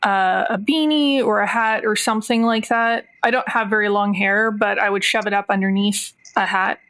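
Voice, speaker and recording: A woman's voice: medium pitch, steady tone, moderate pace, fairly bright tone. A woman playing a tabletop roleplaying game, informally thinking aloud as she describes what her character does. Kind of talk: casual chat, describing character's actions.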